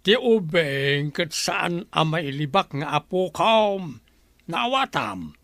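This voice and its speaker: Guy acting as older ilocano filipino husband in radio drama, exasperated, highish voice, really animated. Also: slow pace, vocal fry, no echo, clean studio sound, heavily acted. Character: older husband scolding his heartless wife, protective of child.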